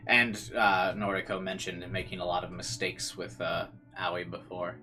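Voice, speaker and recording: A man with a fairly low voice reads visual novel narration aloud as a let's player. He mixes dramatic reading with casual commentary, and faint game music sits behind him. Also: little pitch movement, normal speed, sharp tone.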